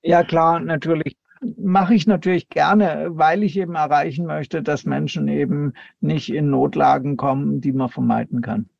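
A man's voice: fairly high pitch, fairly even intonation, normal speed, creaky, darkish tone, dry recording, dull audio. A man giving explanatory, hesitant interview answers as an expert guest. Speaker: expert guest, paranormal researcher and counselor.

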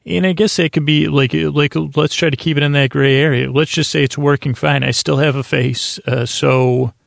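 A middle-aged man with a creaky voice hosting a bedtime podcast in a slow, lulling, meandering way, haltingly repeating words. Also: a little high, steady tone, no room sound, clean studio sound. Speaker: podcast host. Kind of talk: rambling bedtime monologue for sleep.

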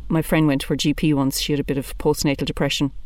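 A woman's voice: deep voice, little pitch movement, fast, darkish tone, dry recording. A woman in her thirties with an Irish accent, doing a chatty, blunt stand-up monologue. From Ireland. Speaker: comedian.

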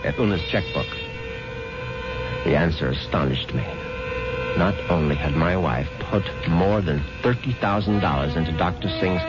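A man's voice: low voice, natural voice. An actor playing a skeptical, easily exasperated husband of a rich woman in a 1970s radio drama, with a sardonic, sputtering delivery. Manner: sardonic, sputtering when incredulous. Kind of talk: 1970s radio drama acting, partly first-person narration. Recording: dull 1970s radio recording, music behind.

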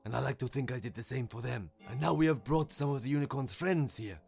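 A man voicing a proud, gentle lion in a story, speaking in an earnest and emphatic, measured way. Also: medium pitch, creaky, soft background music, lightly acted. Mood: earnest confession. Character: proud, gentle, growling male lion, reformed fighter.

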